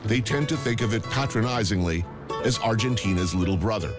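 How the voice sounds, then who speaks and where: A man's voice: lowish voice, fairly quick. A man reading scripted travel documentary narration. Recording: loud guitar music behind. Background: guitar music.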